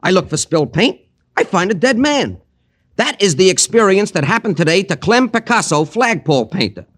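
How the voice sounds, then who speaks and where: An actor playing a boastful, excitable American working man with self-important, colloquial talk in an old radio drama. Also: high voice, moderate pace, heavily acted. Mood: excited, self-important. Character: cocky, excitable male flagpole painter, self-important braggart. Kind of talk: 1940s-50s radio drama character acting.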